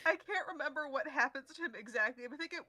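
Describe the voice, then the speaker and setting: A woman's voice: high voice, very fast, pushed and bright, dry recording. A woman who works as a sound designer chatting casually in a lighthearted, self-deprecating way. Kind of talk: casual chat.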